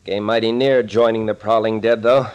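A man's voice: lowish voice, fairly even intonation, normal speed, a little mellow, clean tone, lo-fi sound, lightly acted. A man playing an unflappable, folksy captain and village constable in a 1940s radio drama, plain-spoken and wry. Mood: calm, reassuring.

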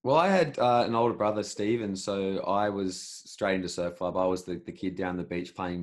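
A young Australian man with a notably low, deep voice talks through his past in an easy, rambling way. He often restarts a sentence partway through, keeping a casual interview tone. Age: 20s. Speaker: podcast host, interviewee.

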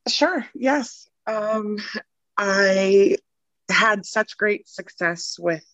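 A woman from the Boston area, chatting as a podcast guest, laughing often and filling pauses with ums. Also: mid-range voice, a bit bright, no echo. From Boston area, Massachusetts. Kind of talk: casual interview chat.

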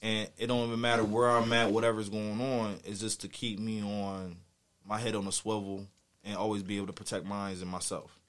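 A man's voice: on the low side, little pitch movement, a little fast, fairly bright tone. East Coast man telling boastful stories. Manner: slangy, profane, boastful. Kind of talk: casual chat, animated storytelling.

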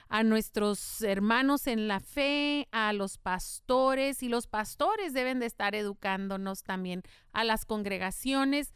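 A woman's voice: a little high, smooth, dry recording, studio quality. A middle-aged woman from the United States, hosting a podcast in an earnest, explanatory monologue with a faith-tinged tone. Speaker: podcast host.

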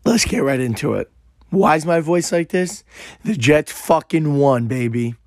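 A young man, hosting a podcast and telling a story in a brash, profane, animated way, his voice hoarse and worn out. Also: fairly high pitch, measured pace, dry recording, clean studio sound. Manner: brash, swearing, animated retelling. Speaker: podcast host. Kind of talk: casual profane storytelling monologue.

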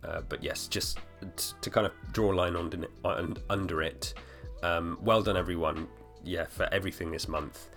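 Male solo podcast host, 30s, very low voice, a bit hoarse, loose rambling delivery, faint music underneath. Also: steady tone, normal speed, hardly any pauses, fairly bright tone. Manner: rambling, full of fillers and restarts. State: slightly hoarse. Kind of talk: casual solo podcast talk.

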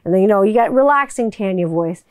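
Casting note: woman voiceover artist in a loose, rambling home monologue; smooth clear voice, dry close sound. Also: mid-range voice, expressive, fast talker, darkish tone, no echo. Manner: chatty, rambling, swears casually. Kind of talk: casual monologue to viewers. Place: home room with people upstairs.

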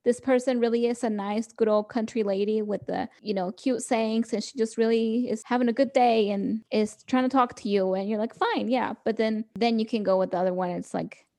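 Young woman cohosting a podcast, kinda high voice, just chatting easy and laughing a lot. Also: fairly even intonation, fairly quick, hardly any pauses, dark tone. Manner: chatty, laughs often, quotes people in little voices. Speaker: podcast co-host. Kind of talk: casual chat.

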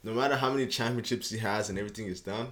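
Young man from canada, lowish voice, rambling casual chat, podcast guest. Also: very fast, fairly bright tone. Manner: loose, rambling, leans on "you know what I mean".

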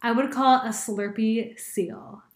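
A woman co-hosting a casual podcast, giggly and animated, with a slight lisp on her S sounds. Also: on the high side, unhurried, roomy sound. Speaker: podcast co-host. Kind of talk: casual chat.

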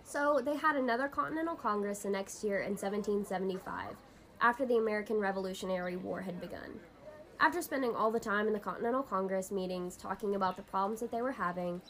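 A woman's voice: mid-range voice, fast talker, natural voice. A woman playing a young woman who patiently explains history to her friend in a scripted amateur radio drama. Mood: explanatory.

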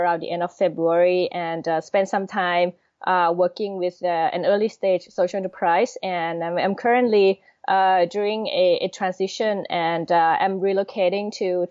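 A woman in her thirties from Thailand with a Thai accent, answering interview questions hesitantly with many pauses and fillers. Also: fairly low pitch, darkish tone, no echo. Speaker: podcast guest. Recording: dull lo-fi sound.

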